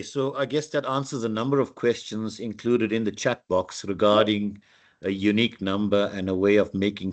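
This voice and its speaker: A man moderating an online meeting, politely relaying and summarizing questions from the chat. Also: fairly low pitch.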